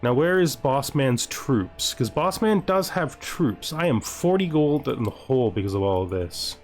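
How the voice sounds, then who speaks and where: A man's voice: medium pitch, lively intonation, a little slow, faint music behind. Man thinking aloud over a strategy game. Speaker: gamer narrating his own playthrough. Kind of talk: casual let's-play commentary, thinking aloud.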